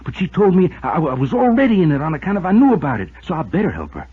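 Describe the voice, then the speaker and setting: A man's voice: on the high side, very animated, rapid-fire, slightly rough, exaggerated voice. An actor playing a desperate, unhinged lovesick man confessing to murder in a 1950s radio drama, pleading, agitated and stammering. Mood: anguished confessing. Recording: muffled tinny old radio recording.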